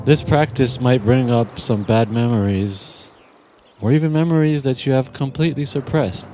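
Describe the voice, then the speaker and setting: Man, very slow pace, mid-pitched, soothing guided meditation delivery, long pauses, music clearly behind. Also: a little mellow.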